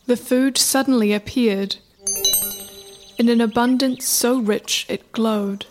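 A woman narrating a scripted folktale as a measured storyteller. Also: a little high, fairly even intonation. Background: music.

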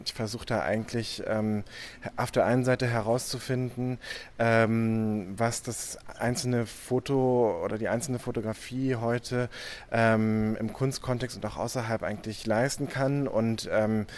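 A man's voice: on the low side, fairly even intonation, unhurried, darkish tone. A young man from Hamburg, an artist, answering interview questions hesitantly with many filler sounds. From Hamburg, Germany.

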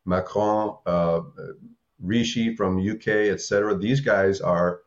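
A slightly muffled, lo-fi recording of a man in his early seventies with an American accent. His voice is on the low side, and he gives his views as a voter in an informal interview. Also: fairly even intonation, slow pace. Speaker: voter giving opinion. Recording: slightly dull, lo-fi sound.

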